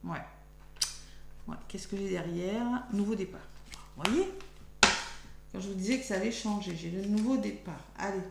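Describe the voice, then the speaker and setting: A French woman with a nearly lost voice, speaking softly as she gives a chatty weekly tarot reading for her followers. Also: mid-range voice, normal speed, gravelly, pauses often. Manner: chatty, thinks aloud while drawing cards. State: losing her voice, talking softly. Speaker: tarot card reader. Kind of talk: weekly astrology card reading. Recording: echoey room with faint hum.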